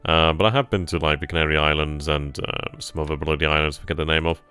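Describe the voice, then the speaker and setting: Deep-voiced man thinking aloud. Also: fairly even intonation, fast talker, pushed and bright, smooth. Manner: thinks aloud, answers chat questions casually. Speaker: gaming channel host. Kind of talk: live strategy game commentary.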